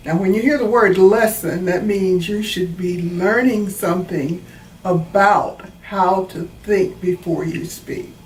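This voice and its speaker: A woman reads and explains a storybook for children in a teacherly manner. Her pace is slow and deliberate, and the recording is roomy and a little distant, with faint noise behind her. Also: lowish voice, fairly even intonation, darkish tone. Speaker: author reading own book.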